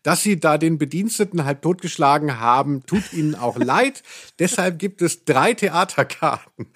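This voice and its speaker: A man co-hosting a podcast, chatting casually and retelling a story in an excitable, ironic way. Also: fairly high pitch, normal speed, slightly rough. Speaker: podcast co-host. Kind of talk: casual, humorous discussion of an audio drama.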